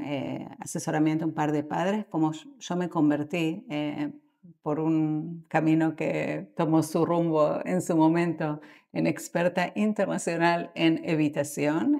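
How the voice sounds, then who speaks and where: Argentine woman, low creaky voice. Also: warm tone. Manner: thoughtful, halting, with mid-sentence pauses. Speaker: guest expert in psychotherapy. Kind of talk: conversational podcast interview answers.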